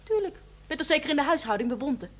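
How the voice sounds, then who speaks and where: A young woman with a Dutch accent playing a brash, quick-tongued miner's daughter in a 1970s TV drama. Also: high-pitched, sing-song. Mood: cheeky.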